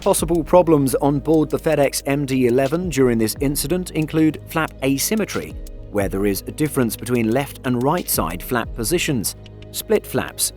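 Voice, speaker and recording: A man narrates a scripted news video with a mid-pitched voice. His delivery is measured and factual, in the manner of an aviation news voice-over, with music playing underneath. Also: darkish tone. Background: background music.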